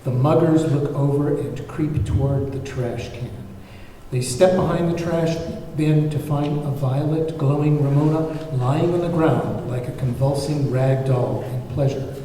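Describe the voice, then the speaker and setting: A man's voice: fairly high pitch, measured pace, mellow. A man reading screenplay stage directions as narrator at a table read. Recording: very echoey hall, distant mic, faint noise.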